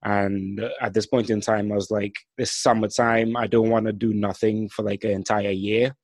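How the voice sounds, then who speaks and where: A man's voice: fairly low pitch, little pitch movement, normal speed, darkish tone, no echo. A man in his twenties from Trinidad and Tobago, hosting a solo podcast and telling his own career story in an earnest, reflective way. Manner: some lines read from a script. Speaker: podcast host.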